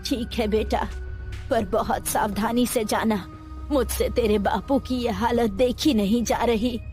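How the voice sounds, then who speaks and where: Older village mother voiced by a woman, anxious, slow and high, for a storybook horror tale. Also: fairly even intonation, darkish tone, lightly acted. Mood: worried. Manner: slow, worried pleading. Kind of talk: storybook voice acting. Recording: faint music underneath.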